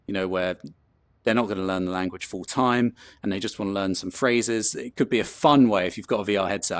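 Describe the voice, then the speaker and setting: A man with a London accent talks through his points in a relaxed, rambling way, full of ums and restarts. His voice sits a little low. The sound is dry and close to the mic. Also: dry recording. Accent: London English. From England. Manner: loose and chatty, many ums and restarts. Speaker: host presenting a talk. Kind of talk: casual presentation with filler words.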